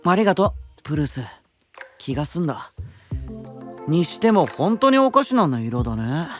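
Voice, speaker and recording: Prickly young knight, animated high voice. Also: male voice, moderate pace. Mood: grudging, frank. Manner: cool, snippy, candid. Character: proud, prickly young elder-brother knight. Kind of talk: Japanese anime-style voice drama acting. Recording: music under the voice.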